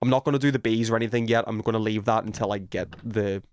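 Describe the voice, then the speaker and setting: A man doing casual, excitable gaming commentary, thinking aloud in slang as he chats with stream viewers. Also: fairly low pitch, fast talker, slight background noise. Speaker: video game livestreamer.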